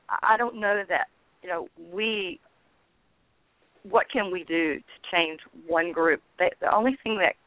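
A woman's voice: on the low side, no echo. An older woman speaks as an interview guest, feeling her way through her answers with frequent ums and false starts. Her voice comes down a narrow, tinny phone line. The sound is dry with no room around it. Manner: halting, with many ums and restarts. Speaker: guest, founder of a peace network. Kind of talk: casual radio interview.

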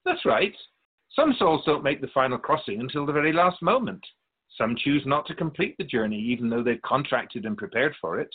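A man playing a wise, patient otherworldly spirit in a radio drama, explaining things in a measured, gently teasing way. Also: mid-range voice, sing-song, breathy edge, phone-quality sound. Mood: patient, explanatory. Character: Keeper of Souls' Purpose, wise otherworldly spirit, patient and curious.

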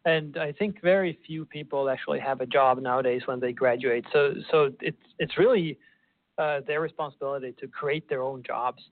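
A man with a Swedish accent, a professor guest, answering in a measured way and often repeating words. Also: medium pitch, no echo. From Sweden. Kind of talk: conversational interview. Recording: tinny phone line.

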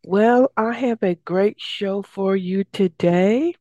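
A woman hosting a radio show, her voice raspy and hoarse from days of talking, chatty and upbeat. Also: slow, mellow, dry recording, muffled recording. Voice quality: raspy, deeper than usual. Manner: chatty, upbeat, promotional, with many uh fillers. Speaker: radio show host and success coach. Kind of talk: casual radio talk.

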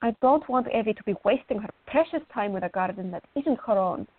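A woman's voice: mid-range voice, expressive, a little fast, no echo, lightly acted. An actress playing a fiery, outspoken young Greek woman artist in a radio play, on a thin recording. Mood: wary, grumbling. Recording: thin, phone-like audio.

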